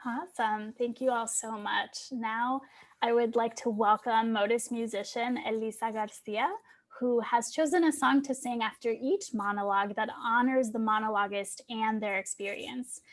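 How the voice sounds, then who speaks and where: A woman with a slightly high voice acts as host. She delivers a prepared introduction, the kind used to open an event and present guests. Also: fairly even intonation, normal speed.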